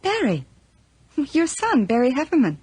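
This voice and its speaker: Hesitant young woman, slow and uneasy. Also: sits high, wildly swooping pitch, a little mellow, no room sound, full character voice. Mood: confused, anxious. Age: young adult. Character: frightened, confused young woman lost in winter. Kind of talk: naturalistic radio horror drama acting.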